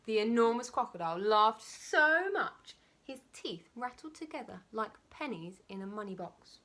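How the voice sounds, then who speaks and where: A woman reading a children's storybook aloud like a primary school teacher, with expressive, emphatic and dramatic phrasing. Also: fairly high pitch, moderate pace.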